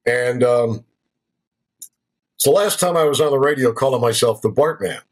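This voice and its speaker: Older male guest, husky tone, relaxed folksy anecdotes, ends lines with "right?". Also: mid-range voice, normal speed. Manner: chatty, folksy, checks in with "right?". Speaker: guest, former radio broadcaster. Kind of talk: conversational storytelling. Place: local to the show's town.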